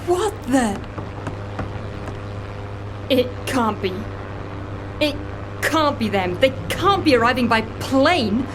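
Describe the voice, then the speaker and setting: A female island recluse in an audio fiction series. Her voice is on the high side, her manner reserved, polite and matter-of-fact. Ambient effects are clearly audible behind her. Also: big pitch swings, slow, pauses often, background noise, exaggerated voice. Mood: panicked. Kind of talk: audio drama dialogue.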